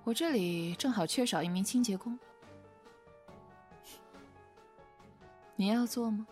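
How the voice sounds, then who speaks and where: A woman playing a cold, vengeful company boss in an audio drama, speaking in a contemptuous, commanding way with a breathy voice. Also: mid-range voice, fairly quick, a little mellow, lightly acted. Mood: coldly taunting. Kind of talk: modern audio drama acting. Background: faint music.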